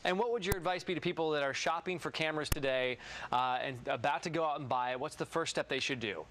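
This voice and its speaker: A man's voice: a little high, fast talker, fairly bright tone. A man hosting a morning talk show, interviewing in an upbeat presenter style.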